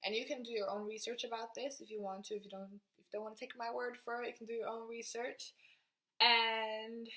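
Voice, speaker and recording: A woman's voice: a little high, flat delivery, fairly quick, bright, forceful tone. A young woman from Norway, explaining her self-study to the camera in a casual, thinking-aloud way and often restarting her sentences. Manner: thinking aloud, restarting and trailing off while writing on a board. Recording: roomy echo.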